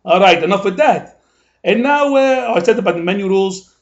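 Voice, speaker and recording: A man's voice: high voice, lively intonation, brisk, highs cut off. A man playing a grumbling older male New Yorker, ranting in a rambling, indignant comic monologue full of asides.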